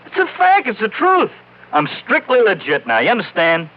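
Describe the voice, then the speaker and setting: An actor playing a slangy, defensive small-time American ex-mob bookie in a vintage radio crime drama. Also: male voice, high voice, wildly swooping pitch, normal speed. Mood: agitated, defensive. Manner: slangy gangster talk, stammering, protesting. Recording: muffled old radio recording.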